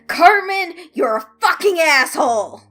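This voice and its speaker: A woman voicing an angry, indignant male boss in a story, spitting out words loudly. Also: very high voice, animated, a little slow, a little hiss or hum, pitched above the performer's own voice, exaggerated voice. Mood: furious.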